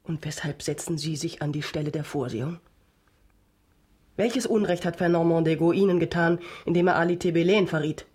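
A woman's voice: fairly low pitch, a little fast, a little mellow, slightly rough. An actress in a German radio drama playing an anguished noblewoman, earnestly imploring as a desperate mother to a man she once loved. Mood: pleading, challenging.

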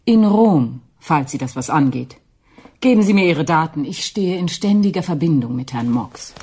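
An imperious executive assistant of about forty in a radio drama. Her voice is lowish and rough-edged, cool in tone. She speaks curtly, as someone used to commanding. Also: expressive, moderate pace, dark tone. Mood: cool, dismissive. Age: middle-aged. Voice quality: cool, husky. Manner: curt, commanding, haughty.

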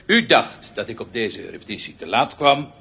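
Pompous, husky orchestra conductor. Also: male voice, medium pitch, very animated, muffled recording, exaggerated voice. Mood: lecturing, pedantic. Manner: theatrical, pedantic, deliberate with pregnant pauses. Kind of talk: radio drama acting.

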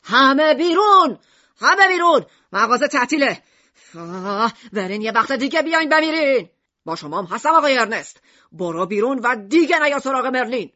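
A woman's voice: low voice, lively intonation, husky. A hot-tempered storybook shopkeeper and father, acted by a woman, speaks brusquely and grumpily. The sound is somewhat dull and lo-fi. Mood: furious. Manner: brusque, sales patter turning to bellowing. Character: grumpy, hot-tempered male poison-shop keeper, a father.

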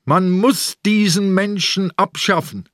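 An actor playing a sick, desperate old police inspector with a hoarse voice in a radio drama. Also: male voice, high-pitched, steady tone, takes it slowly, fairly bright tone, dry recording, studio quality.

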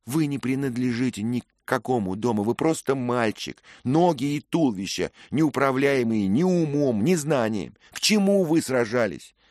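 A man's voice: mid-range voice, lively intonation, moderate pace, hardly any pauses, darkish tone, dry recording, clean studio sound, pitched above the performer's own voice, natural voice. A male reader voicing a proud young noblewoman who angrily accuses a young knight. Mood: scornful, furious. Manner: scornful, accusing. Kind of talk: audiobook character reading.

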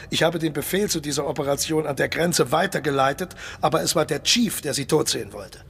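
An actor playing a suave, cynical mercenary colonel and old-hand spy in a radio play, polite but insistent, condescending and menacing. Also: male voice, medium pitch, creaky, slight background noise, close to an everyday voice. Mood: grave, confiding. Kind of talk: German radio play acting.

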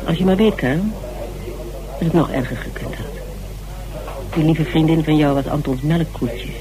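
A woman's voice: low-pitched, moderate pace, crowd noise, close to an everyday voice, poor audio. An actress playing a shrewd, self-assured woman investigator in a radio drama, probing and evasive. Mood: firm, secretive. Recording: faint noise in old radio recording.

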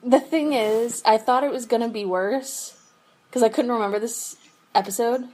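A woman's voice: fairly high pitch, moderate pace, darkish tone. Chatty young woman podcast co-host. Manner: chatty, giggly, lots of fillers. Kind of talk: casual chat.

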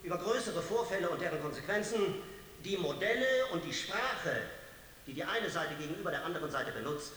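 A man's voice: a little high, expressive, a little fast, pushed and bright. A man speaking formally in a public discussion. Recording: echoey hall with faint hiss.

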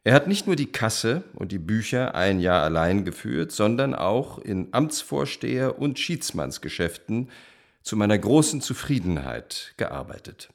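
An actor playing a self-assured estate owner and cavalry officer, formally reading out a certificate in a radio play. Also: male voice, fairly low pitch, very animated, measured pace, vocal fry, heavily acted. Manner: formal, declarative.

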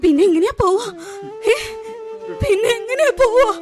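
A woman's voice: extremely high pitch, expressive, moderate pace, over music. An actress playing a young bride who has just lost her husband, crying in disbelief. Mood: distraught. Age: young adult. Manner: wailing, disbelieving.

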